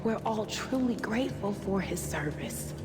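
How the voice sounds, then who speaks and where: A woman's voice: mid-range voice, animated, measured pace, natural voice. An actress playing a grateful, solemn woman adventurer in a fantasy video game, speaking with sincerity. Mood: grateful, earnest. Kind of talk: dramatic video game dialogue. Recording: echoey reverb, loud music underneath.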